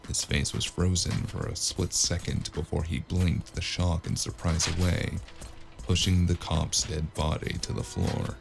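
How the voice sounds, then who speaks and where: A man with a gravelly voice narrating a cyberpunk noir audio drama in the first person, as a weary, wry gunman. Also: low-pitched, level tone, a little slow. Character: weary, wry male cyberpunk gunman telling his own story. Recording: music under the voice.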